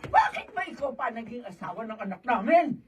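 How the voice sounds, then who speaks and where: A feisty older mother-in-law in a radio drama. Her voice is very husky and rough, swinging widely as she scolds. She sounds a little away from the microphone. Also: on the high side, huge pitch swings, a little fast, far from the mic, heavily acted. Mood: scolding, angry. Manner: scolding, exclaiming.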